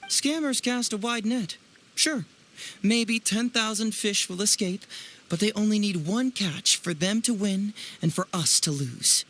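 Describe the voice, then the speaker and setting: An actor playing a clever, teasing young male detective and smooth presenter in a video game, speaking in a wry, theatrical way. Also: high voice, measured pace, fairly bright tone. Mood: earnest. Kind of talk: animated video game voice acting.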